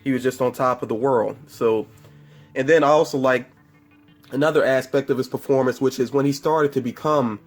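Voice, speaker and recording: A man with a stuffy, congested voice from a cold talks through a casual film review at a middling pitch. He rambles easily, backtracks and corrects himself mid-sentence. Soft music plays faintly behind him. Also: fairly even intonation, normal speed. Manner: rambling and chatty, with frequent fillers and self-corrections. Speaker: movie review vlogger. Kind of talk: casual spoiler movie review.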